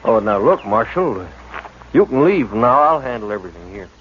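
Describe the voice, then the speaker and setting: A shifty homesteader with a lowish voice who turns evasive and defensive when pressed. He talks his way around the questions. The sound is a muffled old radio recording with soft hiss. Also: big pitch swings, normal speed. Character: shifty young male homesteader, defensive liar. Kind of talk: 1950s radio drama acting.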